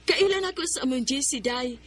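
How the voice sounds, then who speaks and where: A woman's voice: sits high, animated, a little fast. A woman playing a chatty, encouraging female best friend in a radio drama. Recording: radio drama with music bed.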